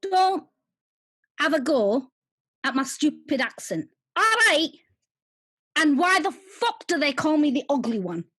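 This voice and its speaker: A woman's voice: sits high, big pitch swings, a little slow, long pauses, fairly bright tone, no echo, heavily acted. A woman playing a coarse, furious female alter who swears and rants in a solo stage monologue. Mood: furious ranting. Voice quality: swearing, brash. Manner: ranting, mocking.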